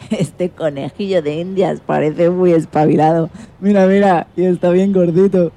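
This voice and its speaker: Woman as a mellow-voiced, amused scientist. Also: lowish voice, fairly even intonation, a little slow. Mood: amused, appraising. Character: scientist examining a guinea pig with amusement. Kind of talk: children's radio drama acting.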